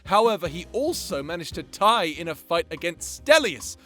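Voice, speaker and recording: Male commentator, slightly high-pitched voice, casual and unscripted, weighs options aloud, faint music behind. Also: very animated, moderate pace, sharp tone. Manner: thinks aloud, weighing and second-guessing before giving blunt verdicts; mild swearing. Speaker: audio creator ranking his fictional characters in matchups.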